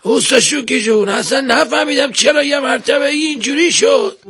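Man doing a high, flustered, clumsy workman. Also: fairly bright tone, faint music behind. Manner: pleading, flustered. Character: hapless clumsy apologetic male workman, earnestly protesting innocence.

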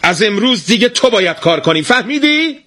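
A worn-out wife in a storybook folk tale, voiced by a man in a raised, husky tone. She scolds and pleads in turn, swinging widely in pitch. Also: huge pitch swings, no room sound, full character voice. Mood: scolding. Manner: pleading then shouting, scolding. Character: weary, exasperated, nagging wife. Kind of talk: storybook reading.

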